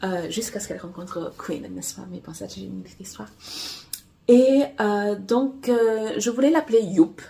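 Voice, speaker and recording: A woman romance author whose first language is Portuguese, chatting casually to camera in a halting way with many fillers and restarts. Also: medium pitch, vocal fry, warm tone. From Angola. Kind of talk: casual vlog chat.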